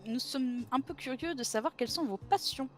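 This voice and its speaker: A woman co-hosting a roleplay talk show, her voice a little higher than average. She keeps her questions short and affirms each answer before moving on, with soft music faintly underneath. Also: a little fast. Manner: brief, affirming, asks follow-up questions.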